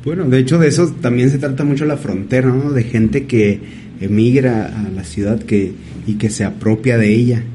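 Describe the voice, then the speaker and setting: A man, a painter as guest, telling stories in a thoughtful, halting way. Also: mid-range voice, warm tone, a little hiss or hum. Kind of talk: casual interview storytelling.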